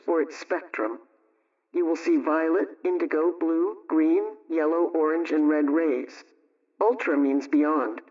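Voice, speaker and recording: A woman playing a practical radio homemaking adviser, explaining things in a measured, instructive way in the style of a 1920s broadcast. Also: low-pitched, a little mellow, far from the mic. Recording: muffled radio sound, off mic.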